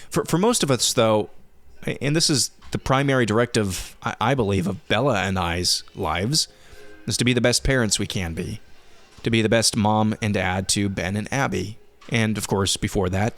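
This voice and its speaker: A man in his thirties hosting a casual podcast, answering listener questions in a relaxed way with frequent restarts, his voice going hoarse. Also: mid-range voice, no room sound. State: losing his voice. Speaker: podcast host.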